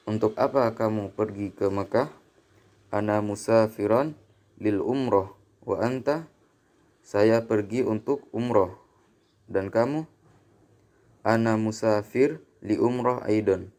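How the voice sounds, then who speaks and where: Low-pitched Indonesian man teaching. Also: a little mellow. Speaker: Arabic grammar teacher. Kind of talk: scripted lesson narration with bilingual example sentences.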